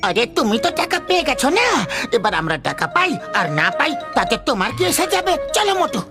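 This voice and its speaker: A man playing a skinny, sceptical, exasperated sidekick in an animated cartoon dub, nagging and sarcastic. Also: very high-pitched, moderate pace, over loud music, heavily acted.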